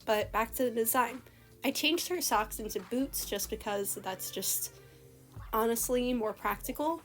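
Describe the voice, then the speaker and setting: A young woman giving casual, rambling voice-over commentary on a costume redesign, her voice slightly hoarse from a recent illness. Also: a little high. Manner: chatty, rambling, many "like" fillers. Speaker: artist commenting on a character redesign. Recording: voice-over with faint background music.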